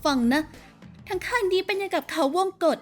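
Woman doing a bossy young game rebel, super high voice, confident n teasing, bit of music behind. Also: brisk. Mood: sardonic. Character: bossy, sardonic young female rebel leader. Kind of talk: Thai fan dub of a video game.

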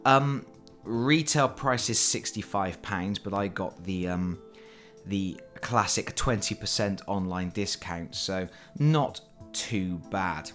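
Slow-talking man with a cold. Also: low voice, a bit bright, soft background music. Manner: chatty and self-deprecating, with fillers. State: has a cold. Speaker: board game channel host. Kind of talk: casual unboxing commentary. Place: indoors at a table.

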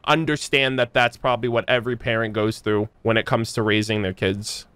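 A young man reacting to a game as a streamer, expressive, slangy and casual, close on the mic. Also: lowish voice, a little fast, clean tone, no room sound. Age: young adult. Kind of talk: excited casual gameplay reaction. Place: small room at home, indoors. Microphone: close microphone on boom arm.

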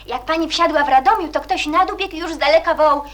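An actress playing an eager, chatty, warm-hearted young woman in a radio play, lively and girlish. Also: high-pitched, quick pace.

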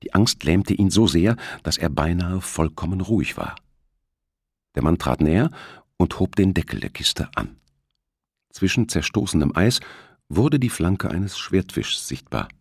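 A man's voice: sits low, sing-song, measured pace, creaky, breathy edge, dry recording, clean studio sound. A man narrating a suspense novel as an audiobook, reading from a script. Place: close, dry studio recording.

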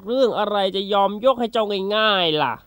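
A man's voice: high voice, lively intonation, a little fast, a bit bright, clear, resonant tone, no echo, clean studio sound. A man voicing a brave, wily turtle with a confident, teasing manner in a children's fable. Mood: defiant, firm. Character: brave, wily, unflappable turtle who outsmarts bullies. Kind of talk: children's animal fable character acting.

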